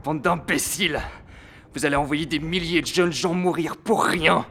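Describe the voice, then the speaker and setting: An actor playing a fierce, desperate warrior in a French audio drama, vehement, loud and strained. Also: male voice, on the high side, steady tone, moderate pace, heavy background noise, lightly acted. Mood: furious.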